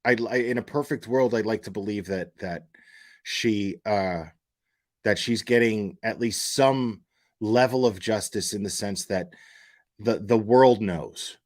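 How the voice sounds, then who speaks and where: A man's voice: lowish voice, a little slow, darkish tone. A man hosting a casual true-crime livestream, blunt and opinionated, often repeating words and phrases as he works out his point. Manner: emphatic, opinionated, blunt with profanity. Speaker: livestream host.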